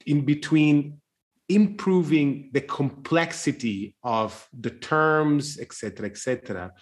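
Searching, halting man in reflective conversation. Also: a little high. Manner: searching, halting, circling around his point.

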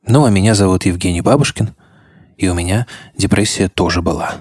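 A man's voice: deep voice, fairly quick, a little mellow, husky, no echo, clean studio sound. A man hosting a podcast with scripted narration that speaks straight to the listener. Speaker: podcast host.

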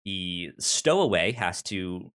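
A man co-hosting a podcast, casually discussing a movie in a halting way, often stuttering and repeating words and syllables. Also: deep voice, animated, fairly bright tone. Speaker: podcast co-host.

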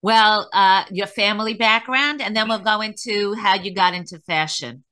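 Brief: older woman talk show host, bright tone, mid pitch, eager and promotional. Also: moderate pace, clean tone. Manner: enthusiastic, promoting the guest. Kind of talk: interview hosting.

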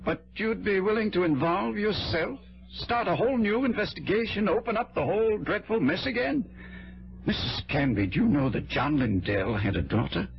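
An actor playing a smooth, persuasive, pious man in a vintage radio drama, speaking in a measured, sanctimonious way. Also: high-pitched, lively intonation. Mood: challenging, cautioning. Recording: dull vintage radio broadcast recording.

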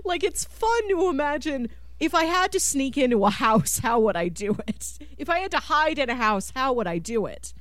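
A woman chatting casually as a podcast co-host about media, animated, often acting out imagined reactions and laughing through her words. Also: sits high, moderate pace, few pauses, no room sound. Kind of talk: casual film discussion.

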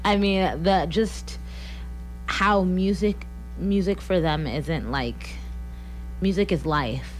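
A woman's voice: fairly low pitch, slow pace, pauses often, slight background noise, rough recording. A woman in her twenties, a singer-songwriter from Missouri, talking earnestly in a radio interview and laughing often. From Columbia, Missouri. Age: 20s. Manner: earnest, laughs often, many likes and you-knows.

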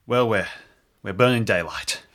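A man's voice: on the low side, a little slow, fairly bright tone. A man playing a scared park ranger who tries to lead bravely, speaking in terse, hesitant phrases. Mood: impatient.

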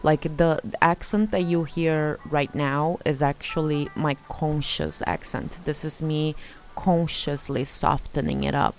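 A woman's voice: deep voice, lively intonation, a little slow, darkish tone, soft background music. A young Brazilian woman with a Brazilian accent tinged with Eastern European sounds, telling chaotic self-mocking stories in a casual vlog and laughing often. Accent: Brazilian Portuguese with Eastern European traces. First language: Portuguese. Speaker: vlogger. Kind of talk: casual storytelling monologue.

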